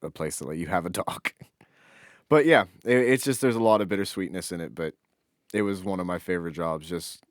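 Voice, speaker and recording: A man's voice: sits low, a little fast, dry recording, studio quality. A man co-hosting a podcast in casual, informal chat, with some offhand swearing. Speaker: podcast co-host.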